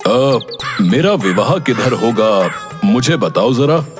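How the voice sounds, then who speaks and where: A man's voice: medium pitch, sing-song, slow, music in the background. A man playing a burly, dim-witted bullying village wrestler in a folk tale, speaking bluntly and demandingly. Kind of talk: dramatized folk tale character acting.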